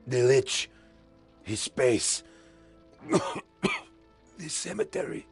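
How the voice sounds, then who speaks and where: An actor playing a proud, resigned war commander in a fantasy game, mortally wounded and gasping out halting words. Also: male voice, medium pitch, sing-song, creaky, soft background music, exaggerated voice. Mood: dying, resigned. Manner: laboured, halting. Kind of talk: fantasy video game voice acting.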